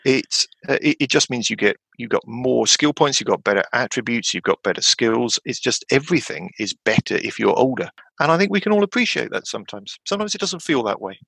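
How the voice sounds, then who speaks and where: A man in his fifties chatting casually about tabletop games, rambling with lots of fillers and restarts and sudden animated jumps in pitch. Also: fairly quick, vocal fry, few pauses, husky, dry recording. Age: 50s. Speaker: podcast guest.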